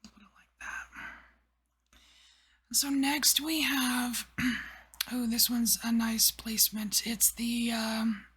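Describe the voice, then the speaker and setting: A woman giving a whispered tarot reading, rambling softly with many fillers. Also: fairly high pitch, little pitch movement, takes it slowly, pauses often. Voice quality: breathy. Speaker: tarot reader.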